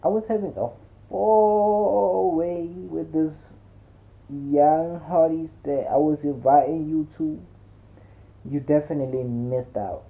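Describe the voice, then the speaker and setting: A man's voice: fairly high pitch, fairly even intonation, slow pace, vocal fry, voice pushed up. A man voicing a brash, boastful male friend in a slangy, blunt audiobook dialogue. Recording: muffled, narrow phone-like sound.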